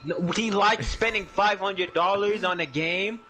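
A man's voice: sits high, a little slow, pushed and bright, a little hiss or hum. A young man chatting casually while gaming online, teasing and mocking the others with plenty of swearing. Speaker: gaming video host playing online. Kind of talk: casual game voice chat.

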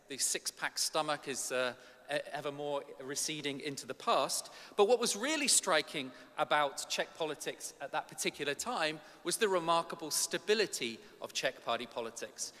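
Bright-voiced middle-aged man lecturing in a hall. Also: a little high, animated, normal speed. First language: English. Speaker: academic conference speaker. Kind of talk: conference lecture. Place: large ornate hall indoors. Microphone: gooseneck podium microphone. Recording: reverberant large hall.

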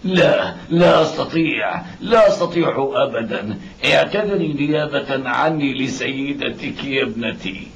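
An actor playing a humble, pious, blind old father in a dramatic cartoon dub, with groans and drawn-out exclamations. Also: medium pitch, lively intonation, vocal fry. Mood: sorrowful disbelief. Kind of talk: animated drama dub acting. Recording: dull lo-fi cartoon soundtrack.

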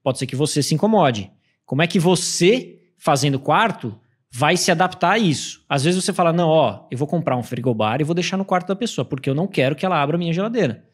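Podcast guest in his thirties: a man with a higher voice who tells anecdotes with plenty of energy. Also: quick pace, breathy edge. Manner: animated storytelling, acts out quoted people. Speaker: podcast guest, Airbnb host. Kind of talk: casual podcast chat. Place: podcast studio. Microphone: studio mic with headphones.

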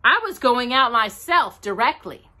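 Woman putting on a prim fussy rabbit, high voice, bright tone, storybook reading. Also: lively intonation, unhurried. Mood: polite. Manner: prim, proper, slightly fussy. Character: fussy, careful, polite male rabbit.

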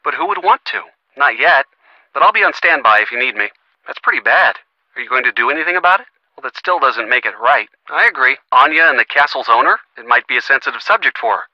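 An actor playing a supportive, teasing young boyfriend in a video game, earnest and lightly wry, heard through a phone filter. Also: male voice, mid-range voice, lively intonation, quick pace, no echo. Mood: attentive, concerned. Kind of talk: video game voice acting, conversational. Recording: thin, band-limited phone-like sound.

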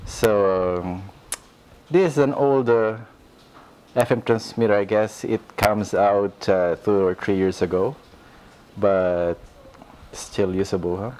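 A man walks through an electronics modification at home, pausing often and searching for words. His voice sits fairly low. He talks at an unhurried pace. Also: fairly even intonation, darkish tone. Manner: hesitant, many filler pauses. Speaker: electronics hobbyist. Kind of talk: casual hands-on demonstration. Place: home room indoors.

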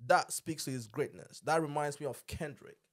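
A man's voice: fairly high pitch, moderate pace, a bit bright. A man, chatting casually and emphatically as a podcast host, full of slang, on a close studio mic. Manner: emphatic, slangy, repeats tags like "you know what I'm saying". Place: small indoor home-style podcast studio. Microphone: close boom-arm podcast microphone with headset.

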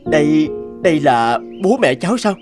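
A man's voice: fairly high pitch, very animated, normal speed, darkish tone, soft background music. An actor playing an earnest, hesitant grown man in a cartoon dub. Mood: uneasy. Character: earnest, hesitant adult man, former unruly schoolboy. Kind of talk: cartoon dubbing.

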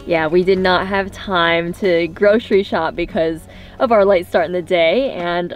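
A woman's voice: on the low side, steady tone, measured pace. A young woman chatting enthusiastically on a travel vlog, giggling often as she describes food and her day. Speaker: travel vlogger. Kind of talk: casual travel vlog chat. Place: cozy restaurant and camper van. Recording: background music under voice.

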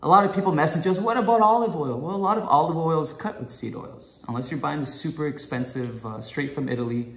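A man's voice: fairly high pitch, quick pace, hardly any pauses. Young guy doing a kitchen vlog, lots of ums, echoey room. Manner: chatty and enthusiastic, many "um"s. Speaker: food vlogger, guest host. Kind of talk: casual to-camera vlog. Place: home kitchen, indoors.

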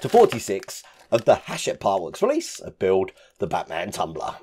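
Hoarse man with a lively delivery. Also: normal speed, noisy background. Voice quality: deep rough voice with occasional coughs. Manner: chatty, explains each step while handling parts. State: hoarse, croaky voice after illness. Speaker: model-building channel host. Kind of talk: casual hands-on build walkthrough. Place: home hobby room full of collectibles.

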